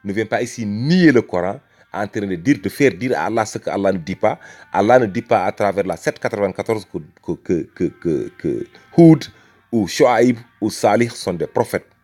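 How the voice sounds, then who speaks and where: Emphatic man with a lively rising voice. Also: lowish voice, normal speed, soft background music. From Senegal. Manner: emphatic, rhetorical, repeats phrases and addresses the listener as brother. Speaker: religious speaker. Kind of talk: impassioned religious argument.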